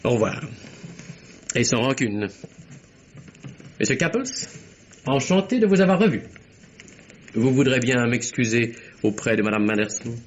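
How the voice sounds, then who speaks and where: An actor playing an articulate young secretary in a vintage French radio mystery, telling his account with theatrical, deliberate precision. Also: mid-range voice, expressive, a little hiss or hum, natural voice. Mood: polite, light. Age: young adult. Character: composed, articulate young secretary explaining himself under suspicion. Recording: dull, muffled old radio recording.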